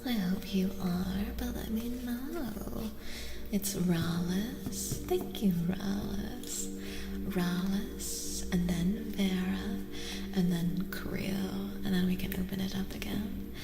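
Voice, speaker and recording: A woman livestream host doing soft-spoken ASMR, chatting casually and soothingly with viewers, often half whispering and repeating short phrases. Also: mid-range voice, fairly even intonation, slow, reverberant. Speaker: livestream host reading tarot for chat. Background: music.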